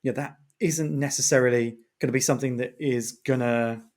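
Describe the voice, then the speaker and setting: A man hosting a casual podcast interview, talking in an informal, rambling way with animated phrasing and long questions. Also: medium pitch, brisk. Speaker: podcast host, writer.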